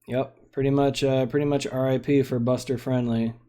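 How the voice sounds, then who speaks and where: A man's voice: medium pitch, little pitch movement, moderate pace, mellow. A young man giving casual, rambling commentary on a card game he is streaming. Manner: laid-back, rambling, lots of fillers.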